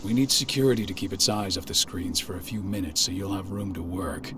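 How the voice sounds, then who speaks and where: Low-voiced, solemn male pack leader. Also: a little fast, noisy background. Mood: commanding. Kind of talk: dramatic video game acting.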